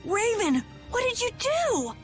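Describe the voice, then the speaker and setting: An excitable little boy adventurer for a game, super high and bouncy. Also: a little slow, soft background music, exaggerated voice. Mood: alarmed. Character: excitable young boy adventurer, eager and easily rattled. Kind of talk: animated video game acting.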